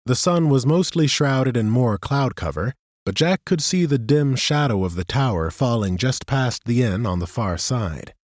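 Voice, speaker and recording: A male narrator reading a fantasy audiobook in a steady, even storytelling style. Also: medium pitch, moderate pace, hardly any pauses, no echo, clean studio sound.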